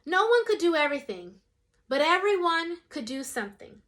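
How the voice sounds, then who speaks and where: Young adult woman, children's story reader, expressive, wide-ranging melody. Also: extremely high pitch, moderate pace, slight background noise. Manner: expressive, shifts voice for quoted characters. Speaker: storyteller reading a picture book. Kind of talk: children's read-aloud.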